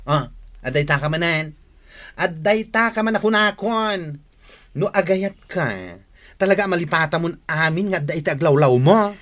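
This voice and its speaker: An actress playing a frank, scolding young best friend in an Ilocano radio drama, with an Ilocano accent. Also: female voice, fairly low pitch, expressive, moderate pace, fairly bright tone. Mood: chiding.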